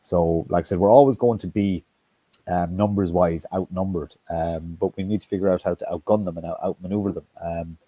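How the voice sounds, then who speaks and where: Man, very low and husky, dry close sound, stop-start blunt talker. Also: fairly even intonation, warm tone, dry recording. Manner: halting, many restarts and ums, blunt with swearing. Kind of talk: casual political discussion.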